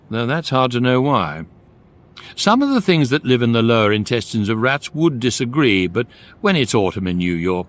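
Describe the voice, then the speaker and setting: An actor playing a knowing guidebook narrator, droll and dry in scripted comic radio storytelling. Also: male voice, mid-range voice, a bit bright.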